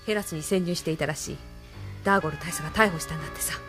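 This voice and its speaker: An actress playing a calm female military companion in a Japanese sci-fi audio drama, measured and inquisitive. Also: low-pitched, soft background music. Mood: curious.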